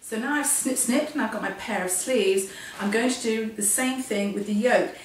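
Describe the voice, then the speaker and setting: A woman with a hoarse voice, getting over a cold, teaching a hands-on sewing lesson in a chatty, self-deprecating, step-by-step way. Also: a little high, moderate pace, recorded from a distance. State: hoarse, losing her voice from a cold. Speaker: sewing instructor. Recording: echoey room, off-mic, faint noise.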